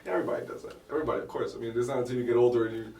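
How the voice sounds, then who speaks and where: A man's voice: medium pitch, rapid-fire, darkish tone, recorded from a distance. A young man from Miami, a comedian guest, chatting casually in an interview and telling stories with dry, self-deprecating humor. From Miami, United States. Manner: laid-back storytelling with dry self-deprecating humor and frequent laughs. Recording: echoey room, voice from off-mic.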